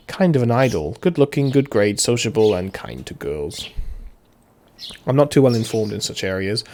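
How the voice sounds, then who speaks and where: Man reading a visual novel aloud, animated. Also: on the low side, a little hiss or hum. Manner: casual, jokey asides. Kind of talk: reading translations aloud with commentary.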